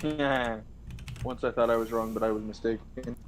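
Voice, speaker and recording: A man's voice: medium pitch, steady tone, noisy background. A man in his twenties chatting and joking with friends before a tabletop game stream. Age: 20s. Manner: joking, quick back-and-forth banter. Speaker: dungeon master.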